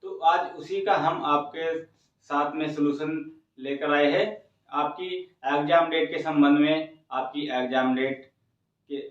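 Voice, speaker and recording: A man explaining exam updates to students in an informal teacherly way, often checking in with short phrases. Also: on the high side. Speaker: exam coaching presenter. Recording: muffled, echoey room, distant microphone.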